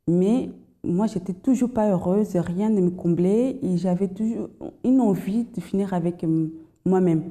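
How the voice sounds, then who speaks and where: A young woman tells her story in a hesitant, faltering way, with many pauses and restarts. Her voice is deep and mellow and her slight foreign accent shows she speaks a second language. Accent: slight foreign accent in French. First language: not French. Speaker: testimony guest. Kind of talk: personal testimony.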